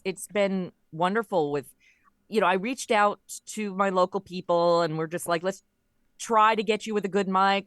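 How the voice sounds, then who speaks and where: A woman's voice: lowish voice, fairly bright tone, dry recording, clean studio sound. A woman chatting casually as an interview guest, explaining her creative work in a talkative storytelling way. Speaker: interview guest, audio drama writer and producer.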